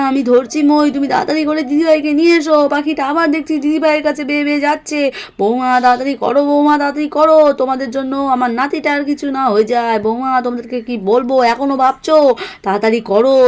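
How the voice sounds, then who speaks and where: A woman's voice: high-pitched, close to an everyday voice. A woman voicing a fussy, bossy, protective grandmother doll in a cartoon story, urging and repeating herself. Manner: scolding, urging, repetitive.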